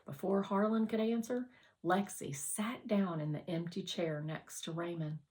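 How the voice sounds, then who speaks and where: A woman's voice: on the low side, unhurried, darkish tone. A woman reading a children's novel aloud as scripted narration. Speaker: audiobook reader.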